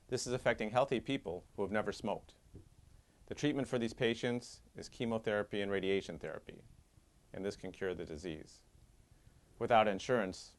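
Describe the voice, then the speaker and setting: A middle-aged male surgeon speaks to camera from his office in a fairly low voice. His delivery is earnest and measured, a scripted plea. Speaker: head and neck surgeon. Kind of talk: scripted advocacy monologue. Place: doctor's office with diplomas.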